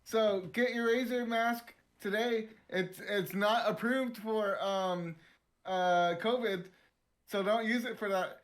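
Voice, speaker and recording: A man's voice: sits high, fairly even intonation, takes it slowly. A man co-hosting a podcast, reading a sponsor spot in a casual, joking, conversational way. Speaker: podcast co-host. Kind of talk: casual, jokey ad read.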